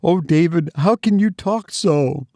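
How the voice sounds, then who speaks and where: A frightened, tearful mother from a storybook, voiced by a man. Her voice sits high and pleads anxiously, always on the edge of weeping. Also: lively intonation, slow, vocal fry, darkish tone, no room sound, heavily acted. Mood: tearful, reproachful.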